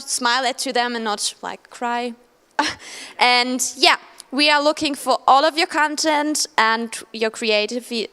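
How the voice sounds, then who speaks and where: Bright-voiced young woman hosting, hall reverb. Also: sits high, clear, resonant tone. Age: young adult. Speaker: event host. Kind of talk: live event welcome. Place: event pavilion at a conference.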